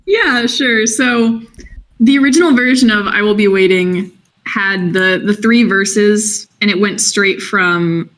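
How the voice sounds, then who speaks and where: A woman talking casually on a livestream about writing a song, explaining quickly. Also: medium pitch, fairly bright tone. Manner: quick, explanatory, hums a few sung lines. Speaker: songwriter of a fan musical.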